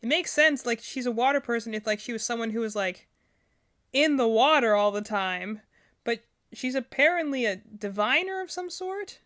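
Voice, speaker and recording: Woman, fairly high voice, casual game streamer, unscripted reactions, rambling, thinking out loud. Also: lively intonation, moderate pace.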